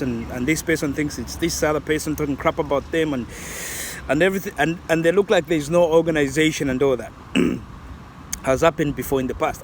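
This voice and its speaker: A man in his late thirties with a fairly high-pitched voice. He muses in a loose, reflective way, circling back and restarting his thoughts. Faint traffic hums behind him outdoors. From Zambia. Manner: reflective, rambling, many restarts. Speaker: vlogger. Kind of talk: casual vlog commentary.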